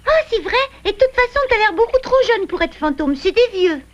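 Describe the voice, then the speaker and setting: A woman's voice: very high voice, expressive, a little fast, lo-fi sound. A woman voicing a sweet, playful little girl animal in a cartoon, childlike, pleading and easily frightened. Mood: playful, teasing. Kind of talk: French-language cartoon dubbing. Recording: old VHS cartoon soundtrack under loud music.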